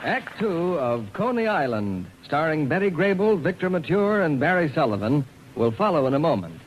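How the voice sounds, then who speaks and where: High-pitched, animated male radio announcer. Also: moderate pace. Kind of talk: formal scripted announcing. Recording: noisy, hissy old radio recording.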